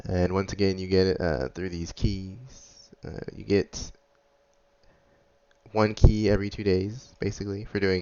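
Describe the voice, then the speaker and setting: Low-voiced man doing casual gameplay commentary. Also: a little mellow, clear, resonant tone. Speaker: gamer, video creator. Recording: slightly dull, lo-fi microphone sound.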